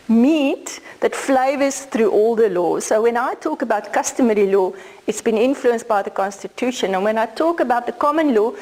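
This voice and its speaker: A woman's voice: fairly high pitch, a little mellow, rough recording. A middle-aged South African woman whose first language is Afrikaans, giving a law lecture in a conversational, explaining way with some fillers. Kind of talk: academic lecture.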